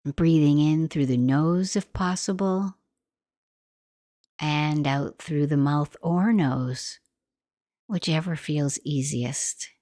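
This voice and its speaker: Slow, low-voiced woman guiding meditation. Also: no echo, studio quality. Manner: gentle, soothing, unhurried. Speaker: meditation guide. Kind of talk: guided meditation, soft instructional narration.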